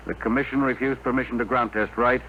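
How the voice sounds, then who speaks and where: An actor playing a bold, plain-spoken rocket builder and pilot in a 1950s radio drama, on an old broadcast recording. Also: male voice, mid-range voice, steady tone, fast, natural voice. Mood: determined. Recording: muffled, hissy 1950 radio broadcast.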